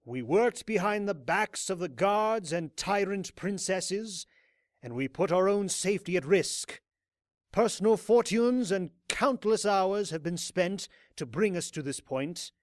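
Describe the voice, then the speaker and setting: Grandiose, high-pitched fanatical cult leader. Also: male voice, expressive, no echo, clean studio sound. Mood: solemn, proud. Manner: grandiose, preacher-like oratory with dramatic pauses. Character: fanatical male unicorn cult leader rallying his followers.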